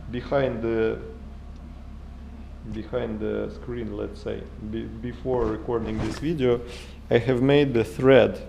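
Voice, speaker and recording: Slow, halting Russian-accented man. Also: on the low side, creaky, dark tone, slight background noise. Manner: halting non-native English with many uh fillers and restarts. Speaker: maker vlogger. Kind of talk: casual workshop vlog. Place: home workshop room, indoors. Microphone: action camera held or mounted close.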